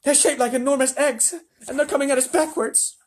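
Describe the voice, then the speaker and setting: A man voicing panicking astronauts shouting desperately for help over the radio. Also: very high voice, expressive, brisk, voice pushed up, exaggerated voice.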